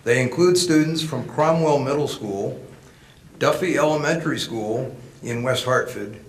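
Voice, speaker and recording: A man from Connecticut delivers a measured, formal scripted speech as an association president in a courtroom. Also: medium pitch. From Connecticut, United States. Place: large echoey courtroom. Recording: echoey room, faint noise.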